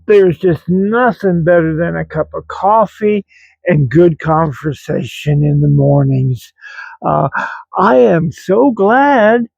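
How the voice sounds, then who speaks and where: A man in his seventies with an American accent, talking reflectively and haltingly to online viewers in a rambling personal vlog. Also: fairly high pitch, gravelly, darkish tone, dry recording, highs cut off. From grew up in West Virginia, United States. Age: 70s. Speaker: vlog host.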